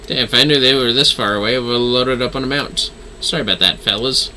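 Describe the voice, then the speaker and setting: A man's voice: medium pitch, fast, sharp tone, slight background noise. A man giving casual live gameplay commentary, talking to himself and swearing easily as he plays. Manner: easygoing swearing, talks to himself. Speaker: game streamer. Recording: music behind the voice.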